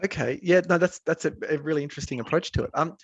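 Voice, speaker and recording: A man from Australia talking casually in a webinar, pausing often with fillers and restarts, speaking from an office building. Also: fairly high pitch, slightly rough, no room sound, highs cut off. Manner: hesitant, many restarts and fillers. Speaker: researcher.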